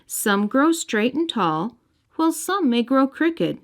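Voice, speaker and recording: A woman's voice: high voice, very animated, slow. A woman reading a story aloud expressively for young children. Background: music.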